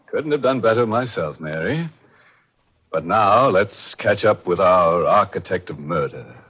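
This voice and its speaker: An actor in 1940s radio style playing a ghoulish horror show host, droll and mock-sympathetic with gleeful wry humour. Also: male voice, low voice, expressive, a little slow, breathy edge, exaggerated voice. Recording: dull vintage radio recording.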